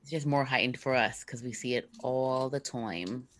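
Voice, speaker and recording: Young woman, Californian accent, low pitch, chatty podcast co-host who acts out dialogue. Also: moderate pace. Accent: Californian American. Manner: animated storyteller, acts out quotes. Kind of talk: casual chat.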